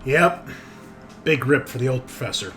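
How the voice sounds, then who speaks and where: A man's voice: medium pitch, animated, normal speed, a bit bright, soft background music. A man hosting a tabletop roleplaying show as game master, talking casually with profane, bantering humor. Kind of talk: casual chat and session recap.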